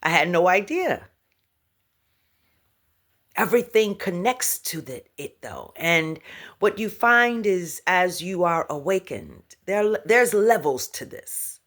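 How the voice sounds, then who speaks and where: A woman's voice: lowish voice, very animated, slow, fairly bright tone. A woman giving a casual spiritual talk to her viewers, laughing often, humming and scatting between thoughts, and using pet names for her audience. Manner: repeats and restarts words, pet names for the audience. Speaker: spiritual teacher.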